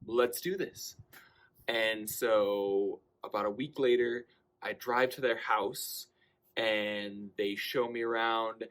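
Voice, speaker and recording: A young man telling a personal anecdote in a casual, conversational way, acting out bits of dialogue as he goes. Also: lowish voice. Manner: animated delivery, acting out quoted dialogue. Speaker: storyteller.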